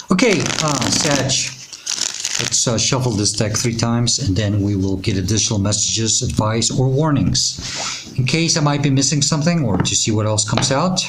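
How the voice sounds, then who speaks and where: A man with a raspy, hoarse voice giving a tarot reading, speaking in a reassuring, unhurried way with many pauses and fillers. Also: mid-range voice, expressive, very noisy. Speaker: tarot reader. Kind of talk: intuitive tarot reading to camera.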